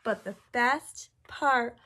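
A woman in her thirties, a teacher reading a picture book aloud to children with animated, exaggerated delivery and drawn-out words. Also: on the high side, a little hiss or hum. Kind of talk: expressive children's storybook read-aloud. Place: small indoor room with classroom displays.

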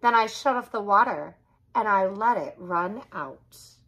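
A woman in her thirties narrates a children's story expressively. Her pace is unhurried, and her voice is bright with a slightly husky grain. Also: mid-range voice, animated, muffled recording. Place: small furnished room indoors.

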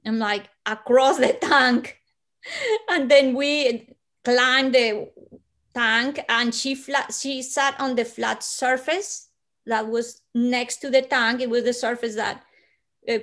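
Slow-spoken Colombian woman on a video call. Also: a little high, steady tone. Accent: Colombian Spanish. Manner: slow and hushed, with soft breathy dips and sudden lifts. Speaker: guest. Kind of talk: storytelling in an interview. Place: living room at home.

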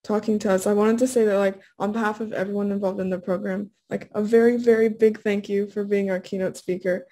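A woman's voice: medium pitch, fairly even intonation, brisk, few pauses, warm tone. A young woman, a student, interviewing a guest over a video call in a giggly, casual way, with lots of "like". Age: young adult. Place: indoor room.

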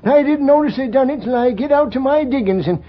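An actor playing a crusty, indignant old prospector with a folksy rustic drawl in an old-time radio western. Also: male voice, very high-pitched, fairly quick. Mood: plaintive. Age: older. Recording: dull vintage radio broadcast recording.

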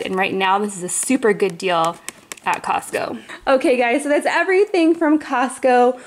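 A woman in her thirties talks in an upbeat, chatty way, calling her viewers friends. Her voice sits a little high. She is recorded on a camera mic at home while filming items up close. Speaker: family vlogger. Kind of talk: casual grocery haul chat. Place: home, indoors. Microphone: camera mic, off-screen speaker filming products.

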